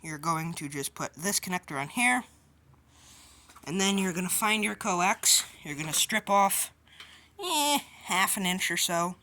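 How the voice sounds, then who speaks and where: A woman's voice: lowish voice, lively intonation, unhurried, bright, forceful tone. A woman giving a casual hands-on tutorial in an informal, offhand, colloquial way. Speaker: DIY video host. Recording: clear background noise with clicks.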